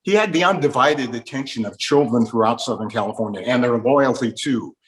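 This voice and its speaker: A man's voice: medium pitch, fairly quick. A man hosting an interview, putting questions to guests and filling in background. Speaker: interviewer, host.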